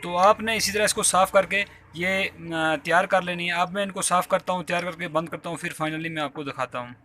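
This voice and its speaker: A Pakistani man with a fairly high, bright and forceful voice hosts an informal how-to video. He explains each step politely and tends to repeat himself, recording on a phone held in his hand. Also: steady tone, a little fast. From Azad Kashmir, Pakistan. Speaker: repair tutorial host. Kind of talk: informal hands-on tutorial narration. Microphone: handheld phone while working.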